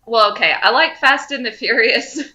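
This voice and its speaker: Female podcast co-host, crisp forward brightness, slightly high pitch, effusive and conversational. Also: normal speed, lo-fi sound. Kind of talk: casual fan discussion.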